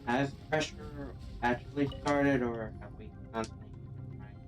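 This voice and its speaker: A man with a medium-pitched voice chats casually on a game stream. He rambles hesitantly over faint background music. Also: moderate pace.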